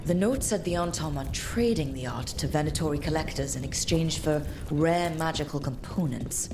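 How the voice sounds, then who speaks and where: A woman playing a calm, determined young adventurer leading a party in a video game, with game sound effects behind her. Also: low voice, normal speed, background noise. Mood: focused.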